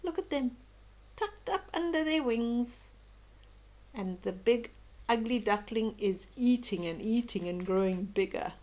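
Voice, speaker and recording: Slow-paced older woman reading a bedtime story. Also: fairly high pitch. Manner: gentle, slow, expressive, cooing asides. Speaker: storyteller reading a children's book aloud. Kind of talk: bedtime story read-aloud with chatty asides to young listeners.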